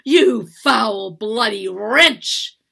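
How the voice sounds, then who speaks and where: A woman voicing a haughty, cruel mistress of a household in a storybook reading, imperious and given to shrill, emphatic outbursts. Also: lively intonation, extremely slow, gravelly, sharp tone, breathy edge, exaggerated voice. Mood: furious. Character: haughty, cruel, raging slave-owning mistress.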